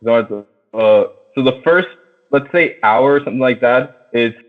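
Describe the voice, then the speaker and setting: A man hosting a casual film chat, talking in slangy, profane language and steering the discussion like an excited fan. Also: mid-range voice, measured pace. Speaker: podcast host. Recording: tinny phone-like sound.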